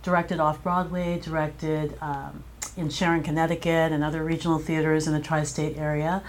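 Low voiced lady being interviewed, chatting about her work, bit of noise behind her. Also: flat delivery, moderate pace. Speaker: interview guest, playwright and director. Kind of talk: conversational interview answers. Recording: clear background noise.

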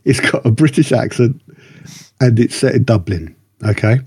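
Male podcast reviewer, husky and mellow, chatty, rambling delivery with keen interest. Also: medium pitch, measured pace, no echo. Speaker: podcast co-host reviewing books and radio dramas. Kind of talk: casual review chat.

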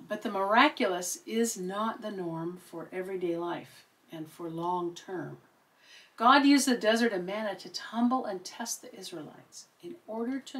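A woman's voice: medium pitch, fairly bright tone. Older woman, earnest, emphatic, missionary talk, slightly distant mic. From Seattle, United States. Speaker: missionary speaker. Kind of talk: prepared talk.

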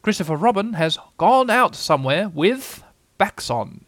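A storybook owl with a raised, high voice. He is pompous and nervous, pretending to be wise. His phrases falter and wander as he bluffs. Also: sing-song, a little slow, a bit bright, no echo, exaggerated voice. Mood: grave, insistent. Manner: hesitant, faltering, evasive bluffing. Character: nervous pompous owl feigning wisdom, male. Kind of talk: storybook character voice.

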